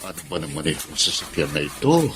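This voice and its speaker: An actor playing an older parish priest, flustered and muttering impatiently in a radio drama. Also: male voice, very deep voice, very animated, fairly quick, very noisy. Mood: flustered, grumbling.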